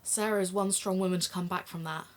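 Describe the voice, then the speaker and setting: A woman's voice: on the low side, fairly even intonation, fast, natural voice. An actress in an audio drama playing a sympathetic woman who co-hosts an investigative podcast, speaking conversationally.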